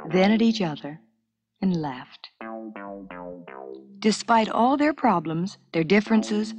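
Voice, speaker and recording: A woman reads a scripted educational story for children in a clear, measured storytelling manner, as on a 1970s school cassette. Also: medium pitch. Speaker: narrator.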